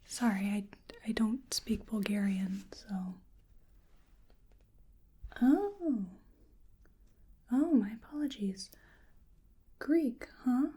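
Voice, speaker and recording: A woman with a soft, breathy, often whispery voice doing ASMR roleplay as a bewildered, polite researcher, speaking hesitantly to the listener. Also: on the high side, very slow, long pauses. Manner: hesitant, murmuring to an unseen listener. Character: bewildered, polite female researcher examining a creature.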